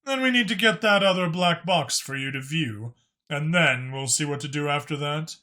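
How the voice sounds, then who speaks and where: A man voicing a composed, authoritative dragon spymaster in an audiobook, measured, formal and a little wry as he explains things. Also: on the high side, sharp tone. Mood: grave, deliberate. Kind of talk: audiobook character acting.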